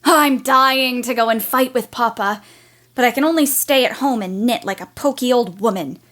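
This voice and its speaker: A woman's voice: fairly high pitch, normal speed, a bit bright, natural voice. A woman playing a spirited, outspoken teenage tomboy in a period audio drama, blunt and teasing. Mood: frustrated. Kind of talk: period radio-play acting.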